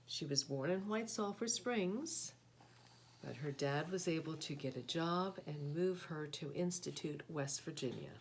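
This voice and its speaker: A woman reading a children's picture book aloud, with deliberate, expressive phrasing and short explanatory asides. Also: sits low, slight background noise. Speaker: read-aloud host, storyteller.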